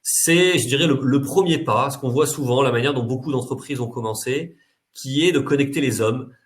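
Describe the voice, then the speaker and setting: A man's voice: medium pitch, moderate pace. A man hosting a webinar and explaining his firm's work over a video call, with frequent fillers. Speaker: consultant, webinar host. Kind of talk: webinar presentation.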